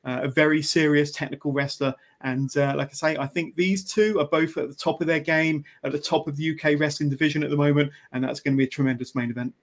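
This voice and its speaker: A man hosts a wrestling hype show and previews the card in a casual, unhurried way. His voice sits a little high, and he often pauses to hesitate mid-thought. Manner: relaxed, with frequent "uh" pauses. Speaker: podcast host.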